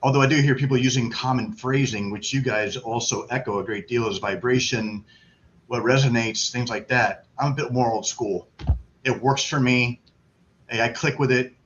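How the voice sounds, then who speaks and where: A man's voice: medium pitch, moderate pace. A man from Florida chatting casually as a guest on a livestream video call, brash, joking and self-deprecating. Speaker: guest on an online networking livestream. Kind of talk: casual chat. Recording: dull lo-fi webcam audio, loud background noise.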